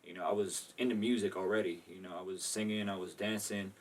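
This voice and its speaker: A man's voice: sits low, fairly even intonation, fast, recorded from a distance. A young man from Maryland answering interview questions in loose, rambling casual talk full of "you know" and "like". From Maryland, USA. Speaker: guest.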